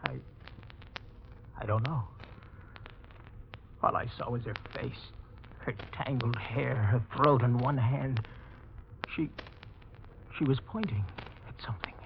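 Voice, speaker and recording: An actor playing a haunted, overwrought murder suspect protesting his innocence in 1940s radio mystery style, swinging between hushed dread and outbursts. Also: mid-range voice, slow, gravelly, pauses often. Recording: muffled, noisy 1940s radio recording.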